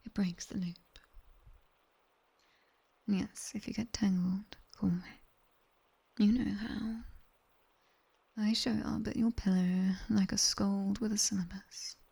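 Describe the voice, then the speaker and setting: A British woman playing a strict, teasing, seductive demoness tutor in an intimate ASMR roleplay, with breathy whispers and a condescending yet coaxing delivery, close on a binaural mic. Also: mid-range voice, steady tone, takes it slowly, pauses often, warm tone, heavily acted. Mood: coaxing, instructive. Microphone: close binaural microphone.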